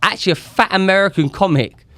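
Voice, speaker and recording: A man's voice: high voice, quick pace, pushed and bright, no echo. A man co-hosting a casual comedy podcast, telling a story in a rambling, stop-start way full of restarts. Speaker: podcast co-host.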